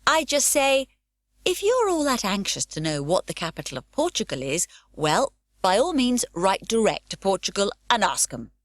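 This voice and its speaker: A woman's voice: mid-range voice, very animated, normal speed, no echo, studio quality, natural voice. A woman voicing a fearless, cheerful nine-year-old girl who talks boldly and gleefully. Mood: breezy. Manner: bold, gleeful and carefree.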